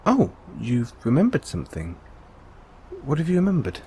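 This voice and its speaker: A man playing a gentle, caring android owner in an intimate one-sided audio roleplay, coaxing and inquisitive, with long pauses. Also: mid-range voice, wildly swooping pitch, a little hiss or hum. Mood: curious.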